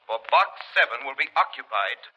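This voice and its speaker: A man's voice: medium pitch, lively intonation, normal speed, pushed and bright, low-quality recording. A man playing a mysterious, confident crime-fighting avenger in a 1930s radio drama, speaking with ominous, commanding deliberation. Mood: commanding, assured. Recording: vintage 1930s radio broadcast.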